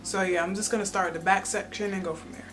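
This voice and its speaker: A woman's voice: medium pitch, steady tone, quick pace, a bit bright, soft background music. A young woman chatting casually through a hair tutorial on her own channel, rambling with lots of ums and filler words. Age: young adult. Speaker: beauty vlogger.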